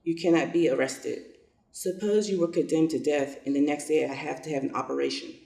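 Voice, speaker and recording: A man playing a self-interested, lordly old general in a reader's theater piece, pompous and deliberate. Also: on the high side, gravelly, darkish tone. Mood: self-assured, calculating. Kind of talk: reader's theater stage reading. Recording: echoey room, distant mic.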